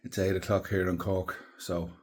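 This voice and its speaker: A man giving a low-key, matter-of-fact morning travel update to camera. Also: level tone, moderate pace. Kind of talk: casual morning vlog update.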